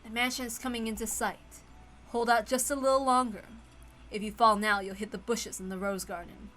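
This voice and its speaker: A woman voicing an elegant female witch who instructs in a measured, lofty manner. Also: fairly high pitch, fairly even intonation, fairly bright tone, a little hiss or hum, lightly acted. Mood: lofty, teasing.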